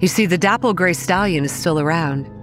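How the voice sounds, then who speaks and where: An actress playing a haunted young woman, narrating childhood horrors in a solemn, deliberate first-person storytelling style. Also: lowish voice, a bit bright, faint music behind, close to an everyday voice. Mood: somber.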